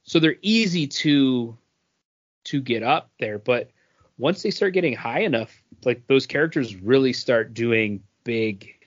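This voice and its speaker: Male podcast co-host, average pitch, conversational game talk, lo-fi recording. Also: lively intonation, unhurried. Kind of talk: casual chat about mobile games.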